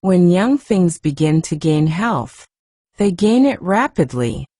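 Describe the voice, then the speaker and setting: A woman narrating a storybook in a soft, gentle, expressive way. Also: fairly low pitch, takes it slowly, dry recording, clean studio sound. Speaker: audiobook narrator.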